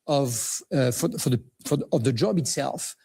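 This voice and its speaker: A man on a business panel speaking in a halting way, repeating words as he searches for phrasing. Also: mid-range voice, vocal fry, dark tone, husky. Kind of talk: panel discussion. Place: conference stage.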